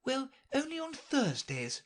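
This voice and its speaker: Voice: high voice, wildly swooping pitch, measured pace, voice pushed up, exaggerated voice. A reader voicing a flustered, rambling queen in a storybook audiobook, with a fluttery, anxious manner. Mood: fretful. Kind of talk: audiobook character voice.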